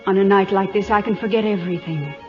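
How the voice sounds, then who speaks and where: A woman's voice: mid-range voice, fast talker, natural voice. An actress as a refined, romantic noblewoman on old radio. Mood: romantic, yearning. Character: gracious, romantic English noblewoman, poised and candid. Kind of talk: old-time radio drama acting. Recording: muffled old radio broadcast with music.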